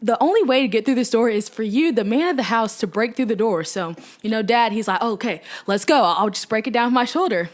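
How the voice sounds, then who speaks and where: A woman's voice: a little high, fast, few pauses. A young woman from Haiti chatting casually and telling stories in a playful way. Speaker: guest, sister of the host. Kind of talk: casual storytelling chat. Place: family kitchen.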